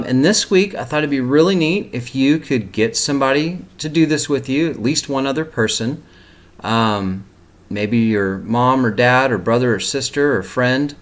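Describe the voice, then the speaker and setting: A man reading a picture book aloud expressively and explaining it to young children as a teacher. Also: mid-range voice. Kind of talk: animated children's storybook read-aloud with asides.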